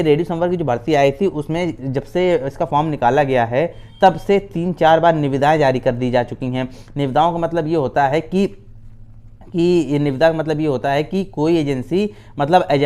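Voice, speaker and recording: A man's voice: on the high side, moderate pace, dark tone. A man. He explains things informally, like a video host updating candidates. He circles back over phrases and leans on fillers and little check-in tags. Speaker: YouTube host giving exam updates.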